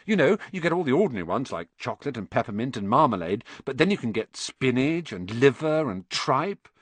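Man voicing a cheeky, animated schoolboy. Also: medium pitch, fairly quick, slightly rough, no room sound. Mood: warning. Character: cheeky, blunt, expressive young schoolboy. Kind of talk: children's audiobook character voice.

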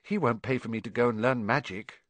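A man's voice: mid-range voice, brisk, a bit bright, dry recording, close to an everyday voice. A man voicing an earnest, wide-eyed young orphan boy in a raised, eager voice.

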